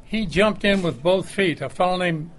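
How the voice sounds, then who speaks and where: Older man, slightly high voice, halting. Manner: halting, correcting himself. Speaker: club member giving a tribute. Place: restaurant room at a club luncheon.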